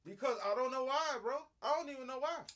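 A young man in casual, profane chat, animated and dropping into bits of song. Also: extremely high pitch, brisk.

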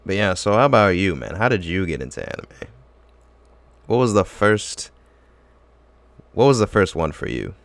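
A man's voice: low-pitched, animated, normal speed, vocal fry, pauses often, no echo. A young man telling a long story in casual chat, full of fillers and restarts. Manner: rambling, filler-heavy storytelling.

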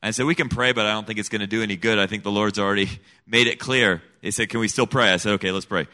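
Earnest bright-voiced middle-aged man. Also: fairly low pitch, steady tone, fast. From Senegal. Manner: earnest, emphatic storytelling. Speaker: guest, missionary kid. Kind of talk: personal testimony in an interview.

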